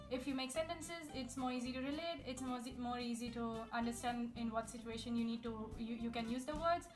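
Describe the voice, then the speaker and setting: A young woman, chatting upbeat language-learning tips straight to her viewers. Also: high-pitched. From India. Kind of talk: casual tips video to camera. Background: guitar music.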